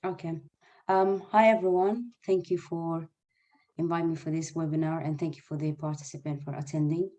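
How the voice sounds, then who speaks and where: A man's voice: fairly high pitch, little pitch movement, moderate pace, warm tone. A young man from Eritrea who grew up in Sudan, a native Arabic speaker, answering interview questions earnestly in a webinar, with small grammar slips. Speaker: guest, nursing student and former refugee.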